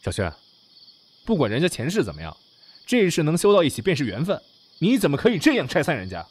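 Man acting as an animated, doting, exasperated young man. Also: a little high, fast, breathy edge, exaggerated voice. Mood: surprised, admonishing. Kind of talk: audio drama acting.

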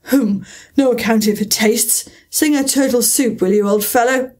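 A woman's voice: high voice, moderate pace, voice pushed up. A woman voicing the Gryphon, a brusque and impatient male creature who gives hasty orders. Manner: hasty, commanding, excitable cries.

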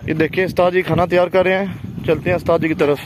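A man's voice: high voice, flat delivery, fast talker. A man casually narrating a night fishing camp vlog, showing the camera around as the group cooks outdoors. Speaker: fishing vlogger. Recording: outdoor phone recording with clear background noise.

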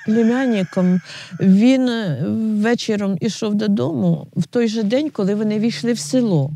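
A woman's voice: mid-range voice, moderate pace, mellow. Distressed Ukrainian woman near tears. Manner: agitated, on the verge of tears. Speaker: villager interviewed about wartime occupation. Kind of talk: emotional interview testimony.

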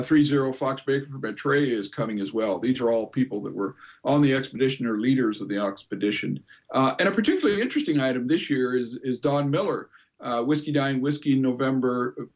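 An American man in his sixties speaks as a guest on a video call from a home office. His voice is medium in pitch. He explains informally and in a measured way, pausing with some hesitation. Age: 60s. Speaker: guest, convention co-chair. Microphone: computer video call.

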